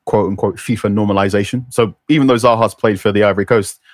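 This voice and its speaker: Man, low voice, chatty podcast panelist, dry mic. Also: fairly even intonation, fast, no room sound. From London. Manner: chatty, thinks aloud with restarts. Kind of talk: casual discussion.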